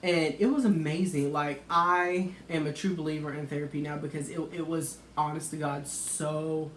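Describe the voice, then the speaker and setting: Soft-spoken low-voiced woman, chatty. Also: moderate pace. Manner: chatty, sassy, animated. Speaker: vlogger. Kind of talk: casual chat. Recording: echoey room with background noise.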